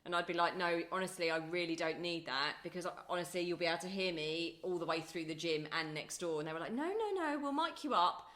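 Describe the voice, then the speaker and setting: A middle-aged woman co-hosting a podcast, chatting casually in a loud, bubbly, teasing way. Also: lowish voice, fast talker, hardly any pauses. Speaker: podcast co-host. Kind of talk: casual chat. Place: home in Ibiza.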